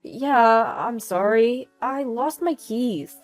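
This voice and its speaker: A woman voicing a quiet, timid thirteen-year-old boy with a meek, plaintive manner in a narrated story. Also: fairly high pitch, slow, faint music behind. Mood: apologetic.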